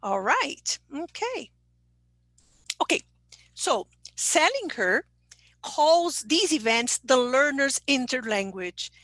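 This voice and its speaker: Woman, high voice, teacher trainer, explanatory, dry sound. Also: sing-song, takes it slowly, long pauses, fairly bright tone, no echo. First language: Portuguese. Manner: explanatory, checks in with okay. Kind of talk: online presentation.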